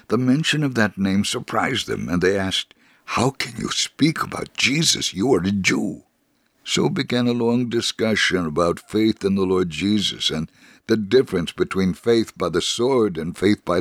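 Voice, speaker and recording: A man reading a scripted first-person story as a narrator, in a measured, deliberate way. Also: on the low side, dry recording, clean studio sound.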